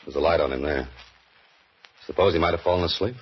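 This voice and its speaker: Dry, joking man, mystery writer, 1940s radio play, very low voice, dull old broadcast. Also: fast talker, a little hiss or hum. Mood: dry, wary. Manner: dry, wisecracking. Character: wry male mystery writer turned adventurer. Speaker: lead actor. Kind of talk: 1940s radio drama acting.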